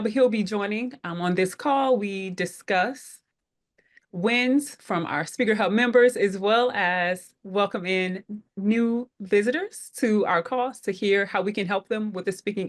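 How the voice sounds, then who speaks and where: A woman hosting an online group call, welcoming people in an upbeat way with frequent fillers. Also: mid-range voice. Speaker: head of operations, meeting host.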